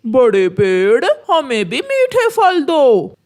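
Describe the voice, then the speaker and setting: A woman's voice: high voice, huge pitch swings, slow pace, darkish tone, exaggerated voice. A woman voicing a selfish, scheming male crow in a children's cartoon, with a dismissive, bossy manner. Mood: greedy, threatening. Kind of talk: Hindi children's cartoon voice acting.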